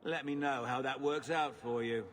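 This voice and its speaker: An actor playing a tough, self-assured mercenary captain in a video game, talking gruffly and matter-of-factly. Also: male voice, mid-range voice, fairly even intonation, background noise, close to an everyday voice. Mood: dry, bargaining. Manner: gruff, unhurried, matter-of-fact. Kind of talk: video game dialogue acting. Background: music.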